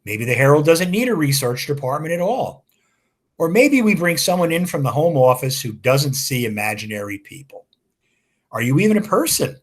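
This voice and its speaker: A brisk woman efficiency auditor with a dry, teasing edge, as a male reader puts her on. Her tone is mildly condescending. The voice sits a little high and is slightly husky. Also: sing-song. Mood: mocking.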